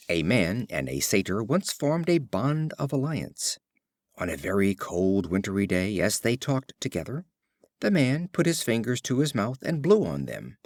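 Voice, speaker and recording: A man reading short fables as an audiobook narrator, in a measured, expressive storytelling delivery. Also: mid-range voice, no echo, clean studio sound.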